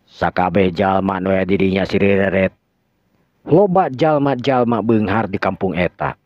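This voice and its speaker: A man with a Sundanese accent narrating a radio drama as its storyteller. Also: deep voice. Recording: dull lo-fi recording with echo.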